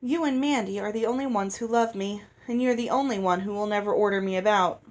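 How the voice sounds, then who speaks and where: A woman's voice: medium pitch, fairly quick, close to an everyday voice. A woman voicing a resentful, guarded teenage girl in a storybook, speaking in a terse, direct way. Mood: confiding, aggrieved. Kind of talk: audiobook character voice.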